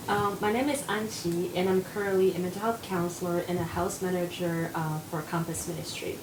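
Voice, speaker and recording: A woman's voice: fairly low pitch, steady tone, a little fast. Young Taiwanese woman counselor, earnest. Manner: earnest, with many fillers. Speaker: mental health counselor. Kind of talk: casual panel discussion. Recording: echoey room with audible noise.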